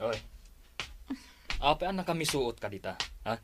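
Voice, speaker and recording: A man's voice: mid-range voice, big pitch swings, normal speed, noisy background, close to an everyday voice. A man with an Ilocano accent playing a surly, domineering husband in a radio-style drama.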